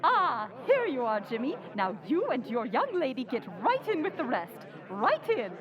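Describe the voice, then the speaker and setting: In a reverberant hall with faint audience sound, a bossy matron acted by a woman holds forth in old-time radio style. Her voice is high and sweeps up and down, pompous and theatrical. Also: huge pitch swings, normal speed, lo-fi sound, crowd noise. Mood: bossy, fussy. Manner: imperious, theatrical, grandly intoning. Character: bossy, pompous society hostess playing amateur medium. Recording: echoey hall, live audience behind.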